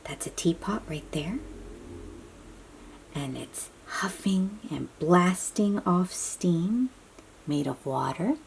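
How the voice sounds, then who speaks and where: A woman with a soft, breathy voice reads a picture book aloud to young children, gently explaining and chatting to them as she goes. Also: lowish voice, slight background noise, low-quality recording. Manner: slow, child-directed, encouraging questions and affirmations. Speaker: read-aloud storybook host.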